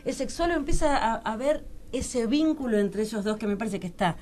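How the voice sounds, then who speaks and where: A woman's voice: fairly high pitch, lively intonation, fairly quick, a little mellow, soft background music. A woman with a Rioplatense Argentine accent, interviewing on radio in a conversational, emphatic way, repeating words as she searches for the phrase.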